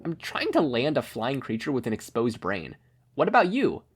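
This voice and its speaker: Cheeky teen boy hero played by a man, higher register, excitable, swooping delivery, storybook. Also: moderate pace, slightly rough. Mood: bright surprise. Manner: excitable, playful. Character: cheeky, curious, upbeat teenage boy hero.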